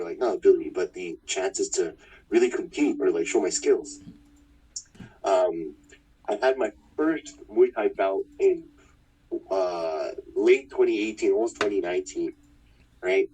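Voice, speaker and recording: Easygoing guy in his 30s from winnipeg, dark mellow voice, rambles a bit, sounds far from the mic. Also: sits low, normal speed, slightly rough. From Winnipeg, Manitoba, Canada. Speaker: fighter, interview guest. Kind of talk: casual interview chat.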